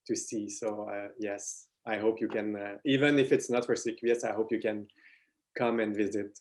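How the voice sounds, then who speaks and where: A man's voice: lowish voice, steady tone, moderate pace, darkish tone. A man interviewing a guest over a video call, hesitant and full of filler sounds.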